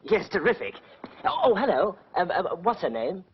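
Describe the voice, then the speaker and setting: A man's voice: high-pitched, wildly swooping pitch, moderate pace. A flustered young army captain stammers and then bosses his waiter, his voice jumping up and down a lot. The old soundtrack is dull with faint hiss. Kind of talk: 1940s British film comedy acting.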